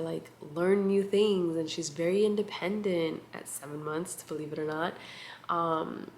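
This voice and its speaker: Nasal, congested woman speaking slowly. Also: lowish voice, creaky, slight background noise. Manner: soft, slow and reflective, with many pauses and fillers. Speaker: vlogger. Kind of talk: casual catch-up vlog. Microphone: compact camera mic.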